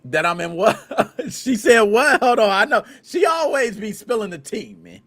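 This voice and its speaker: Animated, lively American man chatting. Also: sits high, normal speed. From United States. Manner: animated, slangy, laughs and acts out scenes. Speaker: podcast host. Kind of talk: casual podcast chat.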